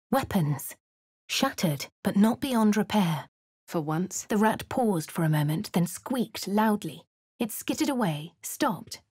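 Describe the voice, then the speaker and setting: A cool, self-assured young adventurer with a posh English accent, recorded on a close studio mic in a dry booth. Her voice sits fairly low for a woman, and she delivers lines crisply with a dry, wry edge. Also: no echo. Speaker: player character. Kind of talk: video game voice lines.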